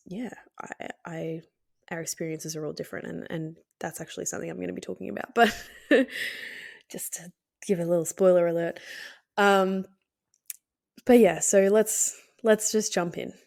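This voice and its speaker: A woman with a cold, sounding hoarse and nasal, hosting her podcast in a candid, hesitant way with many fillers and soft laughs. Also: lowish voice. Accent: Australian. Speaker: podcast host. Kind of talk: casual solo podcast talk.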